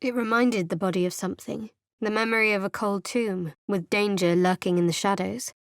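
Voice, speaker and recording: A woman's voice: medium pitch, steady tone, normal speed, no echo, close to an everyday voice. An actress playing a guarded, sardonic young woman cleric in a video game, speaking dryly and wryly. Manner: dry, wry and guarded. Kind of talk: video game character voice lines. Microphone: close studio mic.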